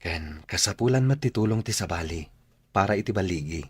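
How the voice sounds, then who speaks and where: An actor playing an earnest, tender young man in an Ilocano radio drama, speaking sincerely and deliberately with an Ilocano accent. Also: low voice, animated, slightly rough, no echo. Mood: earnest, encouraging.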